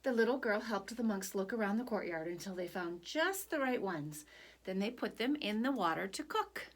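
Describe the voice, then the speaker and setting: A woman narrating a picture book for children at storytime, deliberate and expressive, pausing between phrases. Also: medium pitch.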